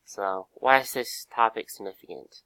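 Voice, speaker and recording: A hoarse young man with a cold, giving a casual class presentation, full of fillers and pauses. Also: lowish voice, pushed and bright, no room sound, low-quality recording. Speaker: student presenter.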